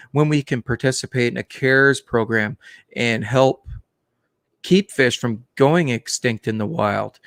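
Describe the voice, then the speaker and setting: A man hosting a live stream about the aquarium hobby, talking casually in a monotone, with many fillers and restarts. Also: mid-range voice, creaky, no room sound. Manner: plain, unhurried talk with many fillers and restarts.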